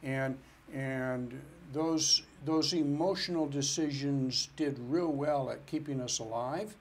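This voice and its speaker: A man's voice: mid-range voice, slow pace, a little hiss or hum. A man who is a financial planner, answering interview questions in an earnest, step-by-step way. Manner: earnest, explains step by step with restarts.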